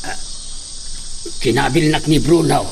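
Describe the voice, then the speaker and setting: An actor playing a weary old peasant father in an audio drama, speaking slowly and gravely. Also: on the high side, darkish tone, slight background noise.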